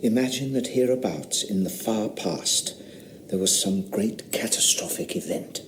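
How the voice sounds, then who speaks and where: An actor playing an earnest country squire and amateur scientist in an audio drama, measured and serious. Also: male voice, lowish voice, roomy sound, slight background noise, lightly acted, low-quality recording. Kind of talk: radio drama acting.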